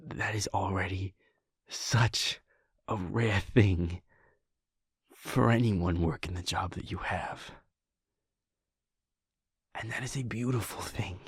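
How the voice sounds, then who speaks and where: A man voicing a caring male werewolf boyfriend in an audio roleplay, talking softly and earnestly, often half-whispered. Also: low-pitched, slow pace, long pauses, slightly rough, dry recording, clean studio sound, full character voice. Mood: tender, worried.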